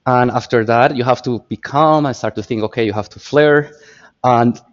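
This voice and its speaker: A man's voice: medium pitch, normal speed, dull audio. Colombian-accented man telling stories. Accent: Colombian Spanish. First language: Spanish. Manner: animated storyteller, repeats words while searching for phrasing. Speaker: guest. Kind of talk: casual interview talk.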